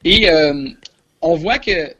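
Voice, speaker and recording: A man's voice: fairly high pitch, takes it slowly, fairly bright tone, breathy edge. A man in his forties with a Quebec French accent, a film columnist chatting on the radio, hesitant with many filler sounds. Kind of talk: casual radio chat.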